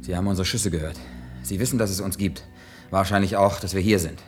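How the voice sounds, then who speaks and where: A man's voice: deep voice, steady tone, fast talker, faint music behind, close to an everyday voice. An actor playing a tense, commanding and bitterly sarcastic man facing deadly danger in a science-fiction radio drama. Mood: grim, urgent.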